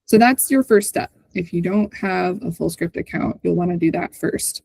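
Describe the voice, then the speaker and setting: A woman's voice: fairly low pitch, normal speed, creaky, darkish tone, breathy edge, no echo. A woman hosting an online call, giving an informal walkthrough in a conversational way, often checking in with the listener as she explains. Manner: conversational, often ends points with a checking "right?". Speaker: host presenting on a community call. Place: online video call with screen sharing.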